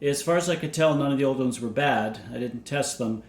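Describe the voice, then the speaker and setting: A man narrating a scripted technical explanation in a measured, matter-of-fact way, as a hobbyist presenter. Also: medium pitch. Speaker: vintage radio hobbyist and presenter.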